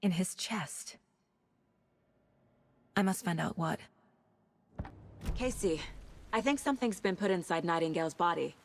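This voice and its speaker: A woman acting an intuitive, composed FBI agent in a video game, speaking in an understated, deliberate way with clipped phrases. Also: lowish voice, a little hiss or hum. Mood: focused, intrigued. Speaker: lead detective character.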